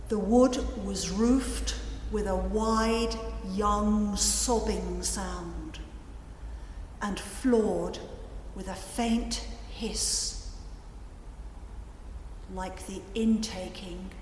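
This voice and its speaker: A woman giving a measured, deliberate dramatic recitation of literary prose as a narrator. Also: mid-range voice, fairly even intonation, darkish tone, slight background noise. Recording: reverberant hall, music behind.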